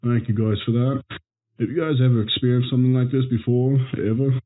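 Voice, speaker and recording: Thin phone-like sound carries a mid-pitched male interviewer, acted by a man. He is courteous and speaks in scripted broadcast questions and thanks. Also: fairly even intonation, a little fast, close to an everyday voice. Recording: muffled narrowband phone-like audio.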